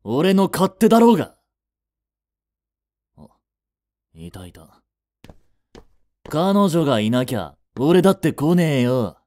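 A man's voice: on the high side, sing-song, slow, pauses often, warm tone, no echo, studio quality. Man acting a brash, jealous teenage delinquent. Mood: surly. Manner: rough, slangy, brash. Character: jealous, hot-tempered teenage male delinquent student. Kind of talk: romantic audio drama acting.

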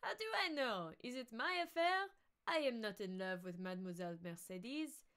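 A woman voicing a sly, mocking man with a nasal, drawn-out delivery in a novel reading. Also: a little high, expressive, a bit bright, smooth. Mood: sly, taunting. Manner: drawn-out, taunting. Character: sly mocking man goading a lovesick rival.

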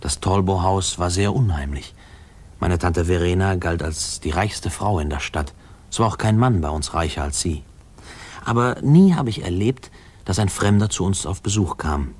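A low-voiced grown man looking back on his boyhood. He tells it wistfully and reflectively, in measured 1950s radio drama narration. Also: animated, darkish tone, husky.

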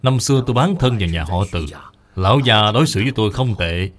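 A man's voice: lowish voice, animated, quick pace, a bit bright. A man voicing a loyal old male servant in a cartoon, deferential and earnest. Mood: earnest, resolute.

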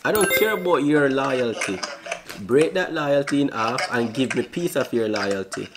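A man's voice: mid-range voice, expressive, normal speed, crowd noise. A man in his twenties with a put-on Jamaican accent, cracking crude flirty jokes at strangers on a video chat in a small bedroom. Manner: brash, crude, teasing, talks over people. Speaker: vlogger on a video chat app.

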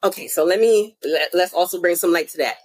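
A woman's voice: fairly low pitch, fairly quick, a little mellow, no echo. A young woman of Haitian family from the United States, talking earnestly as a podcast guest and searching for words as she goes. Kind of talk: reflective interview conversation.

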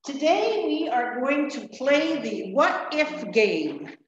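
A woman giving a children's message in a church, slowly posing what-if questions to a child, recorded from afar in the sanctuary. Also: sits high, sing-song, slight background noise. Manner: slow, gentle questioning of a child. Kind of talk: children's sermon storytelling. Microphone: lectern mic from a far camera. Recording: echoey, dull church room recording from afar.

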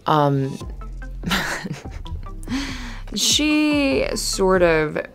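A woman playing a flustered, friendly young café barista in an ASMR roleplay, chatty and halting in a natural conversational way. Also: mid-range voice, big pitch swings, soft background music, full character voice. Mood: bashful, amused. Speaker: voice actor in audio roleplay.

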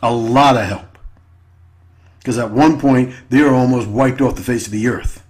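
A man's voice: steady tone, normal speed, darkish tone. A man hosting an internet radio show, giving an unscripted political monologue with repeated rhetorical questions. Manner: repetitive, rhetorical "right?" tags, sweeping pitch.

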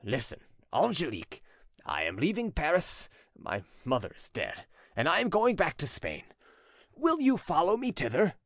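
Storybook lover played by a narrator: ardent jealous gentleman, pleading, widely swinging pitch. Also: huge pitch swings, moderate pace, no room sound, clean studio sound. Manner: impassioned, pleading delivery. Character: ardent male suitor, pleading, jealous French treasurer. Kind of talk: audiobook character dialogue.

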